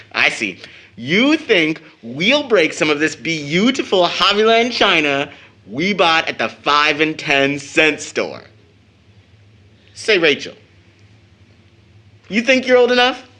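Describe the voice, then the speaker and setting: A man's voice: fairly high pitch, very animated, slow pace, pauses often, pushed and bright, heavily acted. A man acting an eager, cheeky, devoted teenage son in an audio drama, boyish and slangy. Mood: teasing.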